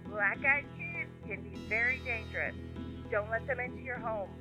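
A woman's voice: a little high, moderate pace, music in the background. A woman acting an emergency dispatcher, official and emphatic with an ominous edge, through a telephone filter in an audio drama. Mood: urgent warning. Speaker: 911 dispatcher.

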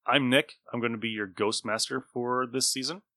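A man narrates a tabletop role-playing game as its game master. His voice is bright and forceful at a middling pitch, recorded very dry, and he slips easily between narration and casual table talk. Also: fairly even intonation, moderate pace, no room sound.

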